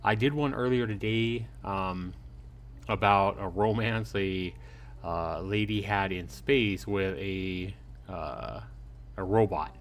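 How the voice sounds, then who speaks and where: Guy with a lowish voice chatting casually to his stream viewers, friendly, noisy home recording. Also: takes it slowly. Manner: informal, friendly, addressing viewers by name. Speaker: livestream host. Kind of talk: casual livestream chat. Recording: clearly noisy home livestream.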